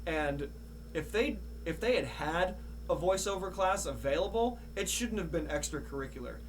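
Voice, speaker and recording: A man's voice: fairly high pitch, animated, moderate pace. A young man hosting a casual interview, thinking aloud and rephrasing as he goes. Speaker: podcast host. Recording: clearly noisy with a background hum.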